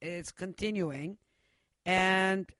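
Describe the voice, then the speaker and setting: A man hosting a metal radio show, chatting casually, cursing freely and pausing on many uhs. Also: sits high, bright, forceful tone, no echo, studio quality. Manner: profane, loose, halting with many uhs. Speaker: radio host.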